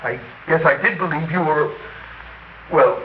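A man's voice: a little high, a little slow, close to an everyday voice. A male actor playing a helpless, wounded American helicopter gunner in a radio drama, blunt and faltering. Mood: remorseful. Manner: blunt, faltering, breaking off phrases. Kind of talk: classic radio drama acting. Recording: dull, roomy, noisy old radio recording.

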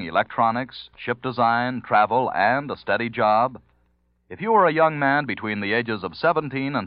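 Male announcer, declamatory, animated intonation, 1940s broadcast style, close dry sound, muffled old recording. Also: mid-range voice, no room sound. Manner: formal, declamatory. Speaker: radio announcer. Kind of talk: 1940s radio announcing, scripted. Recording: dry, slightly dull old radio recording.

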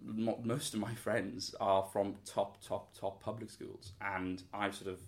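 A man's voice: on the low side, flat delivery, measured pace, a little mellow. A young man from Wales, a student answering interview questions in an earnest, thoughtful way, full of hedges and restarts. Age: young adult.

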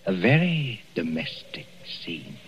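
Deep, slow ominous man, old radio. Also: very animated, slight background noise. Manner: ominous, deliberate, dramatic. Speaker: announcer and narrator. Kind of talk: 1940s radio suspense narration. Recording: dull 1940s radio recording with music. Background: music.

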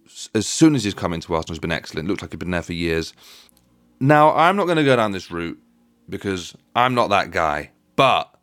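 A man's voice: sits low, big pitch swings, fairly quick, a bit bright, no echo. A man hosting a football podcast, chatting casually and conversationally, often putting leading questions to his co-host.

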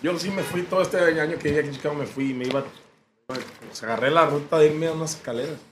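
Quick-talking Mexican man, noisy recording. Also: a little high, fairly bright tone. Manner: slangy, colloquial. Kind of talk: casual chat.

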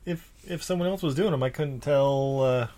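A man's voice: medium pitch, normal speed, mellow. A man co-hosting a podcast, chatting casually and joking while he reads out trivia. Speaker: podcast co-host. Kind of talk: casual chat.

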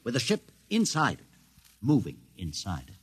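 An actor in a classic radio drama playing an educated older mutant leader, courteous, sardonic and commanding, speaking formally and deliberately. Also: male voice, mid-range voice, wildly swooping pitch, husky. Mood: urgent, persuasive.

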